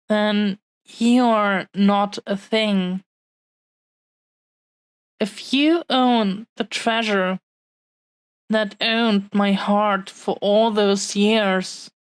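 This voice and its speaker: A woman playing a tender, possessive dragon woman in love in a romantic audio roleplay, with a heartfelt, halting, earnest delivery. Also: mid-range voice, clear, resonant tone, poor audio. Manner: heartfelt, halting, earnest pauses between short phrases. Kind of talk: romantic audio roleplay, intimate scripted monologue.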